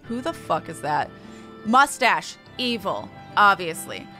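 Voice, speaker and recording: A woman giving casual game stream commentary in an expressive, playful way, sometimes swearing. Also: medium pitch, takes it slowly, soft background music.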